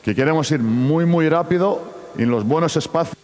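A man's voice: on the high side, normal speed, darkish tone. A man who is not a native Spanish speaker runs a basketball coaching clinic, giving choppy instructions and calling out to players on court. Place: large indoor basketball arena. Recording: echoey sports hall.

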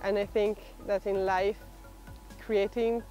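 A woman's voice: mid-range voice, flat delivery, takes it slowly, mellow, faint music behind, rough recording. A young woman with an Italian accent, speaking hesitantly with many fillers in a documentary interview, recorded outdoors in a park. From Italy. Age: young adult. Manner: hesitant, many fillers, laughs while talking. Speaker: exchange student. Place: outdoor park, sitting under a tree.

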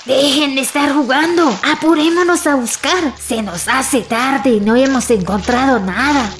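A woman's voice: high-pitched, a little slow, slight background noise. A woman playing an earnest, urgent villager on a search in a radio soap opera. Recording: radio drama with music underneath.

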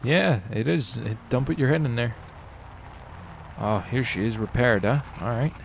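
A man with a creaky, gravelly voice chats casually through a video game roleplay. He swears and exclaims freely, over faint noise of car engines. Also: mid-range voice, normal speed. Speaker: gaming streamer.

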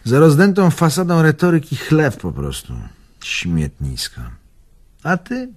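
A man's voice: fairly high pitch, wildly swooping pitch, unhurried, full character voice. An older man playing a bitter, wounded old bon vivant in a radio drama, musing theatrically and evasively. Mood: admiring, scornful. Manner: theatrical, musing, swinging between murmur and outburst.

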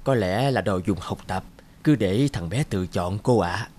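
A man voicing a weary, gentle mother in a cartoon, speaking hesitantly and apologetically. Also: on the low side, unhurried. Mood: hesitant, apologetic. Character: weary, gentle mother shopping with her young son. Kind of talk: cartoon moral-tale acting.

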